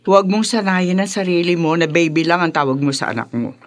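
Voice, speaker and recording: A woman's voice: on the low side, fairly quick, natural voice. An actress playing an older Filipina mother-in-law in a radio drama, chatty, pious, preachy and nagging. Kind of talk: Tagalog radio drama acting.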